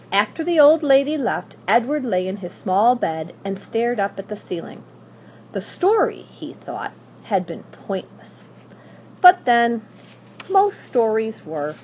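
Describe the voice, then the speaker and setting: A woman narrating a children's story aloud in a dramatic, expressive and deliberate way. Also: medium pitch, poor audio. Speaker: school staff member reading aloud. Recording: faint background noise.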